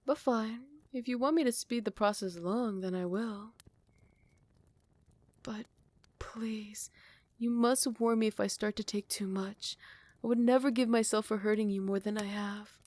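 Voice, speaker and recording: A woman playing a possessive yet tender royal vampire in love, speaking in formal, old-fashioned phrasing with breathy half-whispers in an intimate ASMR roleplay. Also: mid-range voice, steady tone, a little slow, a little mellow, dry recording, close to an everyday voice. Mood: smug, impatient.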